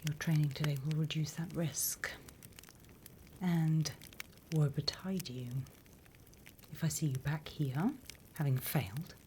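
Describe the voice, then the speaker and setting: A sly demon schoolmistress acted by a British woman murmurs and whispers in a low, unhurried British voice. The delivery is ASMR roleplay, mock-stern and teacherly with a teasing threat underneath. Some clear background noise sits behind the close recording. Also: steady tone, warm tone. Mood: menacing, dry. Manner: slow, deliberate, teacherly, teasing menace.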